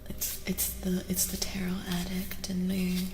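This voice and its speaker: A woman speaking in a soft, whispery ASMR livestream style, murmuring and soothingly repeating short phrases. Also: fairly low pitch, completely flat delivery, unhurried. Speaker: streamer hosting a tarot reading. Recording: roomy echo, music behind. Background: music.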